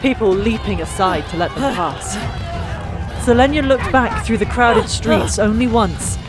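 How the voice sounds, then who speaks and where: A woman's voice: on the high side, unhurried, a crowd in the background. A woman narrating a fantasy audiobook in a dramatic, theatrical storytelling style. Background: music.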